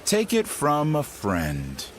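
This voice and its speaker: Sly strategist, very swooping, drawling delivery. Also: male voice, fairly high pitch, huge pitch swings, slow, a little hiss or hum, exaggerated voice. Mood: teasing warning. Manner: teasing, smooth, casual. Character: sly, affable male strategist serving a warlord. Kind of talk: video game dramatic acting.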